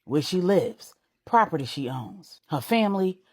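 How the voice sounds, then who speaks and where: A woman's voice: deep voice, very animated, measured pace, slightly rough, voice pushed down, full character voice. A woman voicing a frustrated, demanding male crime family boss in an audiobook, blunt and streetwise. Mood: pressing. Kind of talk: audiobook character dialogue.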